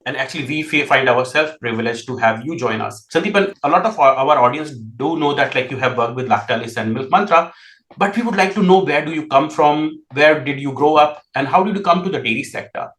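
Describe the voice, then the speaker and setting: A man's voice: medium pitch, a little fast, a bit bright. Male interview host in an echoey room. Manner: brief prompts and backchannel "mm-hmm"s. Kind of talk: hosting an interview. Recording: roomy echoey sound.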